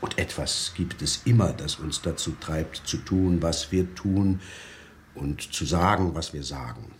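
A man's voice: rumbling low, measured pace, a little hiss or hum. An actor playing an articulate, philosophical man being interviewed in a radio drama, explaining himself in a considered, emphatic way.

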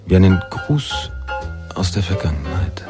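An actor playing a reflective, nostalgic male narrator in a noir radio drama, speaking in a measured, literary manner. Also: deep voice, sing-song. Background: jazz music.

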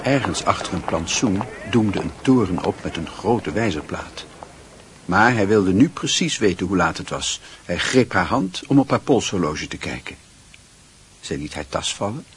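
A man's voice: sits low, animated, normal speed, a little hiss or hum. A man giving scripted literary narration for a Dutch radio play.

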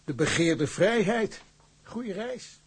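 An actor playing a dry, businesslike shipping office clerk in a radio play, with a husky voice. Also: male voice, sits high, lively intonation, takes it slowly, exaggerated voice. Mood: wry.

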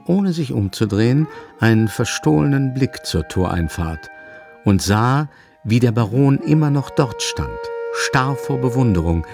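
Male narrator for literary radio drama, low measured delivery, faint music behind. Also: creaky.

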